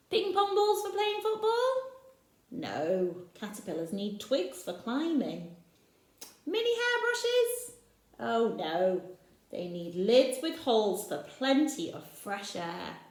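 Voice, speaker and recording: A woman reading aloud as a kindly, knowledgeable male cafe owner, gently correcting children with sing-song questions. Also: medium pitch, big pitch swings, slow pace. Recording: echoey room.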